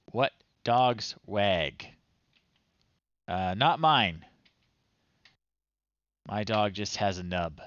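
Lively, low-pitched man streaming games. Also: dry recording, muffled recording. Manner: wry, chatty, thinking out loud. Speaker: livestream host. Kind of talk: casual game stream commentary, reading screen text aloud.

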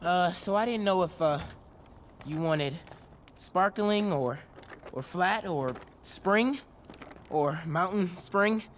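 A man's voice: sits high, slow, a little hiss or hum. A man playing an awkward, eager American teenage boy, speaking hesitantly in a video game scene. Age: young adult. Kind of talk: video game cutscene acting.

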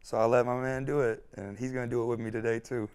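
A young man speaks in a smooth, clear voice, earnest and reflective, often circling back over his own words. He talks into a lapel mic on a lounge-style studio set, at an ordinary pitch for a man. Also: level tone, fast talker, darkish tone. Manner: earnest, reflective, repeats himself. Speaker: hip-hop artist. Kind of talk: candid interview talk. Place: studio set with couch and neon lights.

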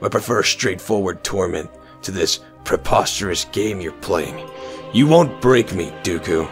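A man's voice: low-pitched, animated, unhurried, faint music behind. A man playing a stubborn, sardonic captive Jedi who talks in a challenging way, in a fan audio drama. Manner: challenging, sarcastic.

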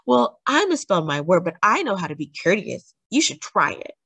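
A woman's voice: on the low side, very animated, moderate pace, vocal fry, a bit bright, breathy edge, no echo, exaggerated voice. A woman voicing an earnest, courteous young schoolgirl in a spelling contest from a storybook, enunciating carefully. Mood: polite, firm.

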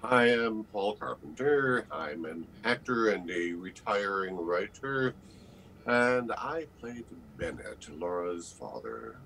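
A man's voice: fairly low pitch, sing-song, slow pace, gravelly, a bit bright, low-quality recording. An older man, an actor, giving conversational interview answers as a guest on a video call. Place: book-lined room indoors. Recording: faint background noise on video call.

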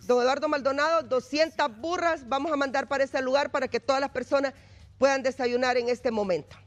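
A woman with a Honduran accent anchoring a TV news show from the studio, brisk and announcing. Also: sits high, fairly even intonation, soft background music.

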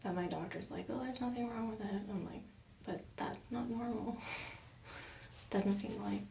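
Young woman with heavy vocal fry. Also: medium pitch, measured pace, mellow. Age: young adult. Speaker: patient. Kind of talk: casual, hesitant account of symptoms. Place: small carpeted treatment room. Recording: roomy sound with background hiss.

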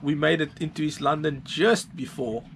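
A man's voice: on the high side, steady tone, normal speed, vocal fry, noisy background. A South African man, around his thirties, narrating a sailing vlog in an informal, laid-back way. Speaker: sailing vlogger.

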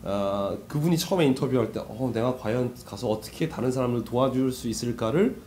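A male interviewer with a soft, mellow tone talks in a relaxed conversational way. He has a cold and a sore throat. There is clear noise behind his voice. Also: mid-range voice, brisk. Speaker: interviewer, host of a business talk show. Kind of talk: casual conversational interviewing with frequent backchannels.

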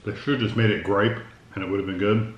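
A man's voice: fairly low pitch, fairly even intonation, fast talker, muffled recording, a little hiss or hum. A middle-aged man chatting casually as he reviews food in a taste test. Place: indoor room at a wooden table. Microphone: camera across the table. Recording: echoey room.

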